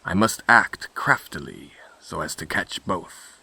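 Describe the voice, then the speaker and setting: Man voicing a hushed, sly storybook wolf. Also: low-pitched, expressive, unhurried, vocal fry, slightly rough, exaggerated voice. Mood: sly, scheming. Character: sly hungry male wolf, smooth and coaxing. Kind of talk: storybook character voice.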